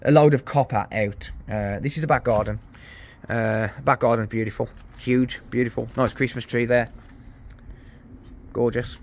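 A man's voice: medium pitch, steady tone, moderate pace, a little hiss or hum. A middle-aged man with a West Midlands English accent, chatting casually and rambling as he films on a handheld phone while walking through a house under renovation. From West Midlands, England. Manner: chatty, rambling, self-correcting with many fillers. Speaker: electronics repair YouTuber. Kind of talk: casual walkthrough vlog. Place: unfinished house under renovation, indoors and garden. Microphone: handheld phone camera, filming as he walks.

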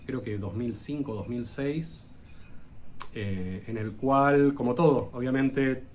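A man's voice: mid-range voice, darkish tone, slight background noise. A man with an Argentine accent, a luthier guest on a video call, telling long stories with lots of hesitant "eh" fillers. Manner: hesitant, frequent "eh" fillers, rambling anecdotes. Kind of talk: casual interview storytelling.

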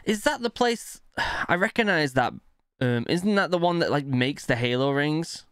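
A man's voice: a little high, expressive, normal speed, a bit bright, smooth, dry recording. A young man hosting a reaction video, chatting informally and eagerly into a close desk microphone. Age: young adult. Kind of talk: casual chat and reactions. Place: indoor home studio. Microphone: close desk microphone on arm.

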